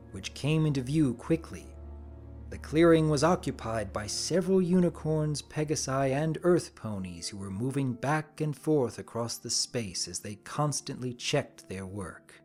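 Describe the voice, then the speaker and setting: Male narrator for dark fantasy fiction: deliberate, dramatic, slow delivery over faint music. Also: mid-range voice. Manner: deliberate, theatrical.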